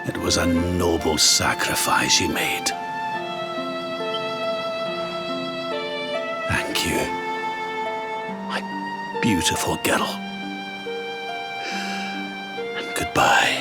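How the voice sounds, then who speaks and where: An actor playing a grieving, tender old man with a Scottish accent, solemn and halting, in a dramatic video game scene. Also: low voice. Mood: mournful, tender. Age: older. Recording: loud music under the voice. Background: loud music.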